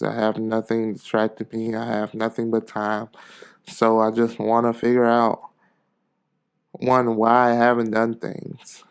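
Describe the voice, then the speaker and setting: Stuffy-nosed man, clear-voiced podcast monologue. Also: medium pitch. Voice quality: stuffy, congested nose. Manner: earnest, self-motivating, repeats phrases for emphasis. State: getting over a cold. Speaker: podcast host. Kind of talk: rambling, casual motivational monologue.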